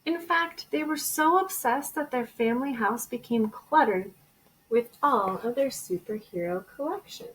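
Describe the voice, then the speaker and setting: A woman teacher reading a children's storybook aloud in an expressive way. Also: fairly high pitch, a little slow, slight background noise, poor audio. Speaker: elementary school teacher.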